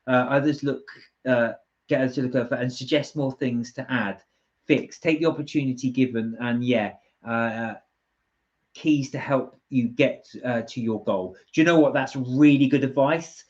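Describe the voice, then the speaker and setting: A British man co-hosts a casual live stream at a middling pitch. He talks in a chatty, rambling way, pausing to find words as he reads comments, and the audio is dull and lo-fi. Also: darkish tone. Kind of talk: casual live chat, reading viewer comments.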